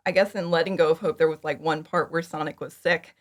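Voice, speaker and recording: A woman speaking as a guest in a casual interview, giggly, with animated asides and lots of "like". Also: low voice, brisk. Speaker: podcast guest, fan audio drama creator.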